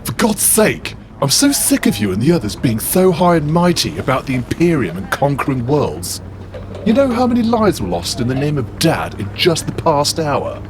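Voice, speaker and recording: A man's voice: medium pitch, measured pace. A man voicing a self-righteous demigod warlord who lectures earnestly and indignantly. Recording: steady background noise.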